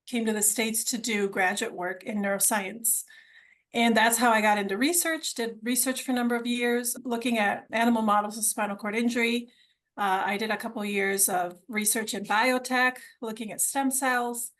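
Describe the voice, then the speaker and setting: A woman's voice: a little high, steady tone, moderate pace, fairly bright tone. A middle-aged woman originally from Argentina, telling her story as a podcast guest in an enthusiastic, conversational way, often repeating words as she restarts.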